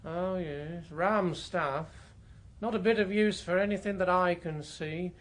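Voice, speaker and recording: A fussy Edwardian cousin with an English accent. He plods along slowly in a rather high voice, practical and disapproving, in radio drama style. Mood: dry, practical. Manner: disapproving, plodding.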